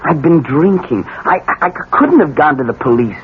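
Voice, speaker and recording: An actor playing a troubled young adult son, earnest and pleading, in a 1970s radio drama. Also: male voice, fairly high pitch, animated, normal speed, close to an everyday voice. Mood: worried, pleading. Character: troubled, protesting grown son. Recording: tinny, noisy old radio broadcast.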